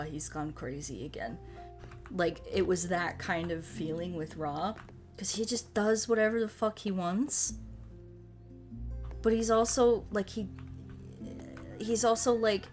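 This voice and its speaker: A woman from the United States chatting casually as a streamer, animated and rambling with a salty tongue. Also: mid-range voice. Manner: animated rambling, frequent swearing. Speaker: streamer chatting with viewers during a puzzle game. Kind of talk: casual livestream chat.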